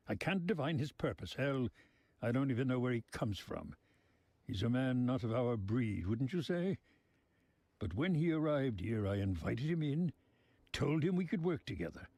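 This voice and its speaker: An actor playing a genteel, sly old mob boss in a video game, speaking in florid, deliberate phrases. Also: male voice, mid-range voice, big pitch swings, a little mellow, slightly rough, no room sound. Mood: wry, courteous. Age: older.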